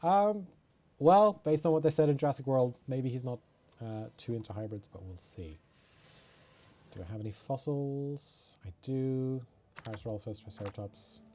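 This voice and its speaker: A man's voice: mid-range voice, lively intonation, normal speed, warm tone, a little hiss or hum. A man from Norway chatting casually while playing a video game on stream, muttering and joking at himself. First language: Norwegian. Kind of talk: casual gameplay commentary.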